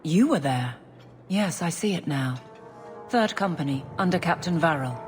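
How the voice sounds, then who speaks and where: An actress playing a firm, practical woman soldier and loyal wife in a fantasy video game, brisk and steady. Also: deep voice, faint music behind. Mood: resolute.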